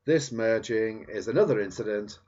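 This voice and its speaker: A man reading a book aloud in a deliberate, emphatic way with dramatic stresses on certain phrases. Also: on the low side, darkish tone. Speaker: reader. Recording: dull, lo-fi sound.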